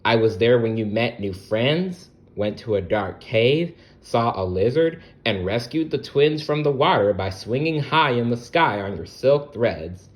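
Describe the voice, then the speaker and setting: A man voicing God in a children's storybook, calm, reassuring and deliberate. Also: medium pitch, lightly acted. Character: God, calm reassuring all-present protector.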